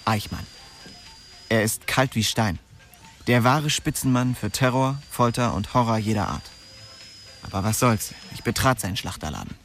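Actor as a wry young storyteller over music. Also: male voice, medium pitch, moderate pace, fairly bright tone, natural voice. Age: young adult. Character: wry, streetwise young man telling his story. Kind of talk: radio drama first-person narration.

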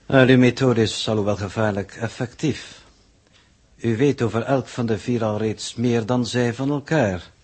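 An actor playing an aloof, sardonic space mission coordinator in a 1960s Dutch radio drama, speaking in a formal, dry, measured way. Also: male voice, medium pitch, darkish tone, breathy edge, close to an everyday voice.

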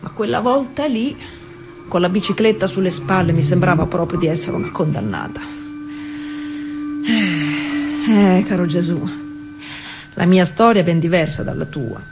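A woman's voice: lowish voice, animated. An actress playing a defiant working-class woman cyclist confiding in Jesus in a colloquial theatre monologue. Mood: rueful, questioning. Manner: confiding, colloquial, with drawn-out pauses and hushed asides. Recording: music playing behind the voice.

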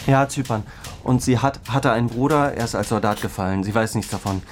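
A man playing an anxious young army private searching for his cousin, speaking haltingly and earnestly and repeating himself. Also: fairly low pitch, close to an everyday voice. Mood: hesitant, earnest. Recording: clear ambient background noise.

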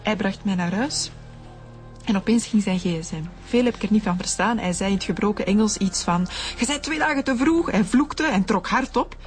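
A frightened young Flemish woman , played by an actress, giving testimony in a radio crime drama. Her medium-pitched voice is earnest and a little defensive. Faint music runs underneath. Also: normal speed, natural voice. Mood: earnest recounting.

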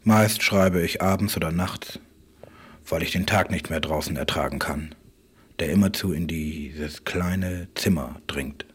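A man with a husky, breathy voice delivers a literary radio monologue in stark, clipped phrases, often repeating himself. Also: deep voice, measured pace, a little hiss or hum. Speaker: writer.

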